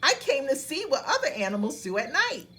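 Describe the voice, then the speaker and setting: A woman's voice: sits high, big pitch swings, fairly quick, bright, forceful tone, pitched above the performer's own voice, exaggerated voice. Woman voicing a curious, cheerful little boy bunny. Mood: eager, playful. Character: young male bunny, curious, cheerful, polite. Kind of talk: children's bedtime storybook voice.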